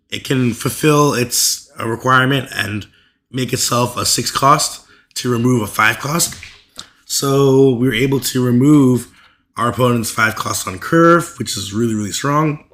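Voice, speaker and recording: A man of middle pitch talks through a card game deck in a relaxed, rambling way. His voice is rough and fading from allergies. He fills his pauses with ums and uhs. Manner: loose, filler-heavy, with frequent ums and uhs. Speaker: card game content creator. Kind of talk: casual deck overview to camera.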